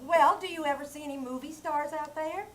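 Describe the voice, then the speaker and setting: A woman's voice: high-pitched, brisk, a little hiss or hum, natural voice. A woman playing a scatterbrained young wife who mixes up her words, in a live old-time radio comedy. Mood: eager. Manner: flustered, with word mix-ups. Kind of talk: 1940s radio comedy acting.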